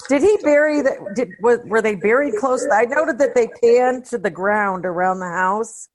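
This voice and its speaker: A woman's voice: on the high side, moderate pace. A woman co-hosting a casual film discussion, talking in a blunt, slangy way. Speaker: podcast co-host.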